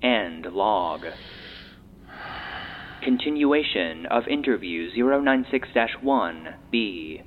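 A man playing an impassive database voice that reads classified log headings in a measured, official manner. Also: lively intonation. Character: impassive male database voice reading classified logs. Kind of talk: scripted log announcements. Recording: tinny lo-fi playback with rumble.

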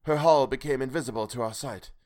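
A man doing a weary castaway calling out of the darkness, his voice pitched well above the reader's own. He speaks haltingly, with broken pauses, and sounds solemn and grave. It is a storybook voice with a worn, pleading edge. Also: a little mellow, natural voice. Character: weary old male castaway calling from a boat in the dark.

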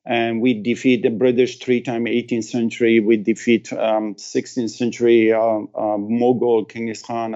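Gravelly Afghan-accented man telling his story. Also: mid-range voice, warm tone, lo-fi sound. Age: 50s. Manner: earnest, emphatic, repeats phrases, non-native grammar. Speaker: interview guest, actor and former military cultural advisor. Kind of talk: personal storytelling in an interview.

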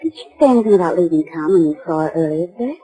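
A woman's voice: on the low side, lively intonation, racing, warm tone, lightly acted, rough recording. An actress playing a detective's earnest, caring lady friend in a 1940s radio drama. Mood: puzzled. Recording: vintage 1940s radio broadcast recording.

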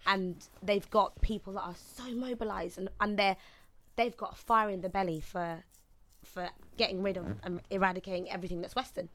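A woman's voice: medium pitch, steady tone, moderate pace, a bit bright. A woman co-hosting a podcast, asking questions and giving earnest opinions in casual chat. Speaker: podcast co-host.

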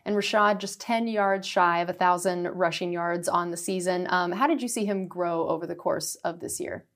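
A woman's voice: fairly low pitch, moderate pace, a bit bright. A young woman, a team reporter hosting a radio show, asking long, wordy questions with fillers.

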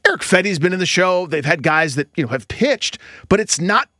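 Animated, bright-voiced man talking baseball. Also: high voice, moderate pace, dry recording, studio quality. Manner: emphatic, colloquial, hyperbolic. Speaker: sports podcast co-host. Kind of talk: casual baseball discussion.

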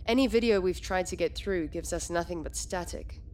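An actress playing a composed, reassuring doctor on a military project in an audio drama. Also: female voice, lowish voice, moderate pace, a little mellow, close to an everyday voice. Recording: clear background noise.

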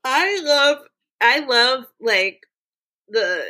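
A woman co-hosting a podcast, chatting casually in a raspy voice, joking at her own expense and laughing often. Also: sits high, animated, very slow, pushed and bright. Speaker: podcast co-host. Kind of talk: casual chat.

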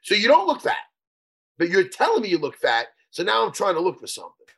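Podcast co-host, male: high, animated, husky, always joking. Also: fast. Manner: joking, teasing banter. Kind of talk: casual chat.

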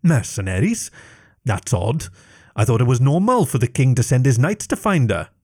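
A man voicing a loud, imperious female dragon. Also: mid-range voice, big pitch swings, normal speed, slightly rough.

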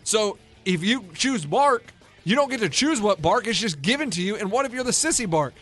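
A man's voice: sits high, expressive, normal speed, a bit bright, faint music behind. A middle-aged man co-hosting a radio show, teasing and mock-offended in casual studio banter.

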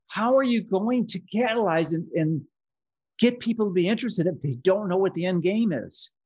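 A man hosting a political interview, who asks questions in an opinionated and halting way. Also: high voice, lively intonation, darkish tone, slightly rough, highs cut off.

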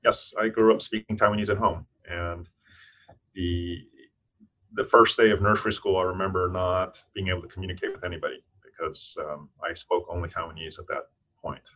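A man in his fifties with a very deep voice, a guest on an interview. He answers in a thoughtful, measured way, often pausing and restarting his sentences. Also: fairly even intonation, fairly bright tone. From United States (Eastern Tennessee). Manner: thoughtful, with frequent restarts and fillers. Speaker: physician and scientist guest. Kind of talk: interview conversation.

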